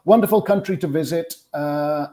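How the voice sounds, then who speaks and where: A man's voice: on the high side, normal speed, dark tone. A man in his fifties from Wales with a Welsh accent, recalling his career conversationally as an interview guest. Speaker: interview guest, television sports producer.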